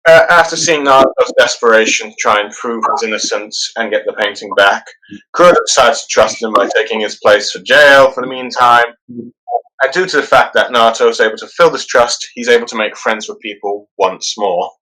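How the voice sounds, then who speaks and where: A man hosting a podcast, talking through a story in a halting way and often pausing on "let's see". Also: mid-range voice, pushed and bright, rough recording. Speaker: podcast host. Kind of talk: casual podcast discussion.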